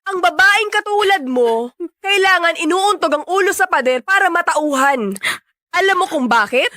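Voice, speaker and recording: A woman's voice: extremely high pitch, expressive, moderate pace, bright, forceful tone, dry recording, clean studio sound, heavily acted. A woman playing a blunt, exasperated young friend in a Tagalog radio drama, scolding with sassy emphasis.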